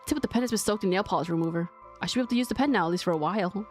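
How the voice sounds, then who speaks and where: A woman's voice: medium pitch, rapid-fire, a little mellow, faint music behind, natural voice. A woman voicing an earnest, puzzled young woman who thinks aloud, reading dialogue from a mystery game. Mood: puzzled resolve.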